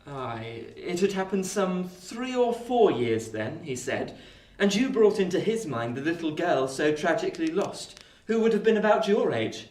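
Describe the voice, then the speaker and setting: Lively, kindly young gentleman. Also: sits high, unhurried, few pauses. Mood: concerned, tender. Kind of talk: radio play acting. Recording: roomy, distant-microphone recording.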